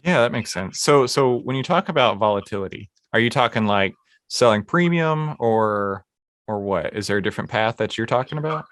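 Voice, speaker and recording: A man's voice: medium pitch, lively intonation, a bit bright, no room sound, studio quality. A man from Texas with a Texan accent, hosting an interview and asking friendly questions. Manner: friendly, quick questions with small repeats. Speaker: host.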